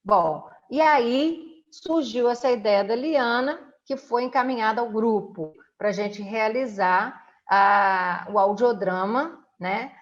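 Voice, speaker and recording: A woman's voice: on the high side, slow, few pauses. Woman interviewer, dull lo-fi sound. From Brazil. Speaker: interviewer and host. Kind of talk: conversational interview hosting. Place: indoors at home.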